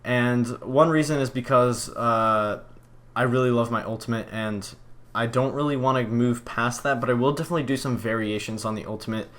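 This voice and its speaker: Young man from Poland, mid-pitched, casual rambling vlog host answering questions. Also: normal speed. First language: Polish. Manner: relaxed, rambling, many fillers and restarts.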